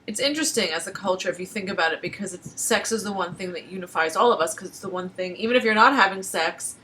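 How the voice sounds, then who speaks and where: A woman with a medium-pitched voice talks in a casual, open conversation. Her way of speaking is candid and matter-of-fact. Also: quick pace, sharp tone, slight background noise, poor audio.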